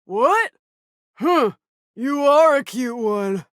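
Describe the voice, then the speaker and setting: An excitable video game character who stammers. Her voice sits high and swings widely, with very slow, drawn-out delivery. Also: no room sound, studio quality, exaggerated voice. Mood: flustered, alarmed.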